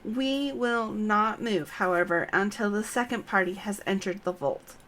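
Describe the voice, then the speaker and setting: A woman voicing a resolute female knight commander in a fantasy game, formal and measured as she briefs her troops. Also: mid-range voice, fairly bright tone, slight background noise, natural voice. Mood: resolute, commanding. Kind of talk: read-aloud fantasy game dialogue.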